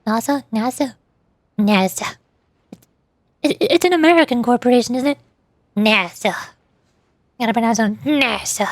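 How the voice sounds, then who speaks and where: A woman's voice: on the high side, moderate pace, long pauses, dry recording. A woman livestreamer chatting casually with her viewers in a rambling, playful way. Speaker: VTuber streamer. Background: soft music.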